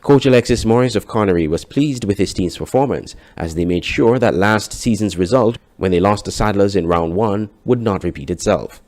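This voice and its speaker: A man's voice: lowish voice, moderate pace, hardly any pauses, darkish tone. A man with a Caribbean accent reading a scripted sports news report as a newsreader.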